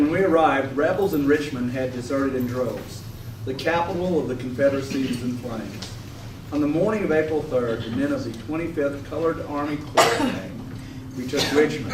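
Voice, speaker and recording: A man playing a firm, dignified Civil War Union general in readers theater, speaking in measured, formal oratory. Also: mid-range voice, lightly acted. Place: echoing room at a stage rehearsal. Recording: echoey, noisy room, distant mic.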